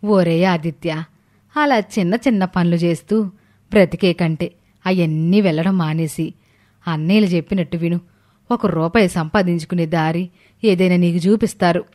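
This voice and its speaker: A woman's voice: fairly low pitch, moderate pace, a little mellow, natural voice. A woman as a stern older mother. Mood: reproachful. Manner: reproachful, firm. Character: stern, aggrieved older mother of grown sons.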